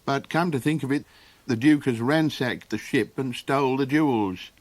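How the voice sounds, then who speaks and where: A man's voice: medium pitch, normal speed, natural voice. A man voicing a scatterbrained old male Golox creature who rambles and hesitates in an audiobook. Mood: musing. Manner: rambling, hesitant with many ums. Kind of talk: audiobook character voice.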